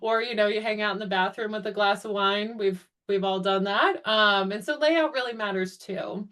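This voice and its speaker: A woman's voice: medium pitch, moderate pace, fairly bright tone. A woman in her thirties giving an upbeat, chatty presentation over a video call, with frequent ums. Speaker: guest speaker, travel company founder. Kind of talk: webinar presentation.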